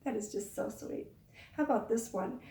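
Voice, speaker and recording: A woman's voice: fairly high pitch, normal speed, mellow, slight background noise. A woman teacher hosting storytime for young children, talking in a coaxing sing-song way and asking them questions. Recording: roomy echo, distant microphone.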